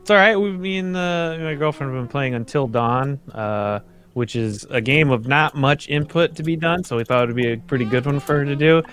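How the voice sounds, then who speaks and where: Medium-pitched young man chatting casually. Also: a little fast, fairly bright tone, faint music behind. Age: young adult. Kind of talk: casual chat.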